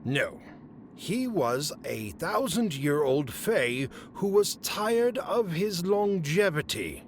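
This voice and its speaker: An actor playing a haughty, exasperated fae council official in a fantasy comedy audio drama, speaking formally and officiously. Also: male voice, fairly high pitch, sing-song, takes it slowly, slight background noise, exaggerated voice.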